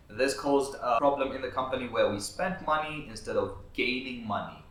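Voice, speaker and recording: A man whose first language is Swahili, a videographer talking through his business lessons in an earnest, structured vlog. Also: lowish voice. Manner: earnest, structured, advice-giving. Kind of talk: talking-head advice vlog. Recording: roomy echo with faint background music.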